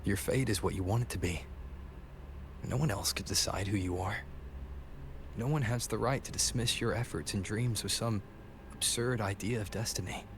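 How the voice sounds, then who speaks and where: A man playing a steadfast young wandering hero in a video game, speaking calmly and deliberately. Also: fairly low pitch, background noise, natural voice. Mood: sincere reassurance. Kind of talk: scripted video game voice acting. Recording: game music behind the voice.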